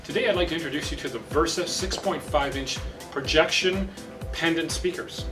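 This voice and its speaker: A man in his fifties giving a plain, step-by-step product demonstration to camera as a company presenter. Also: on the high side. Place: indoor studio set with branded backdrop. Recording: roomy, distant mic, music behind.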